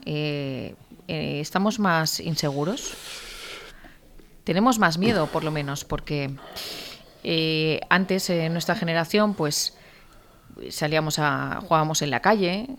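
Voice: mid-range voice, unhurried, long pauses, fairly bright tone. An interviewer asking casual questions in a hesitant, rambling way with many fillers.

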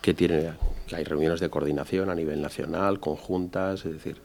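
Brief: man, 50s, from Spain, security pro, low gravelly voice, explains patiently and drives points home. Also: steady tone, moderate pace, darkish tone, a little hiss or hum. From Barcelona, Spain. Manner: explanatory, repeats key phrases, rhetorical tags. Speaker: security company executive. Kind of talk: conversational interview answers.

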